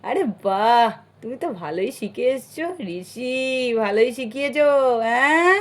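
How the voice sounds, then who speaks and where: Doll-story sister-in-law, played by a woman: high voice, homely, coaxing, fond of teasing. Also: lively intonation, moderate pace, close to an everyday voice. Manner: coaxing, familiar. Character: caring, teasing village housewife and sister-in-law.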